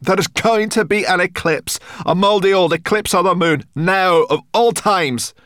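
A man voicing a grumpy, bossy female forest creature in a storybook, full of scornful grumbling and quick to panic. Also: mid-range voice, no echo, clean studio sound, pitched above the performer's own voice, close to an everyday voice. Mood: panicked. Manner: scornful exclamations and colourful grumbling.

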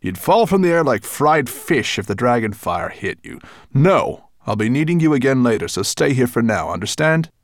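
A man's voice: medium pitch, huge pitch swings, a little slow, no echo, clean studio sound, pitched above the performer's own voice, heavily acted. A man voicing a huge, vain and impatient golden dragon, with a growling, grunting voice and a scornful, bullying manner. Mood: gruff, impatient. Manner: imperious, scornful.

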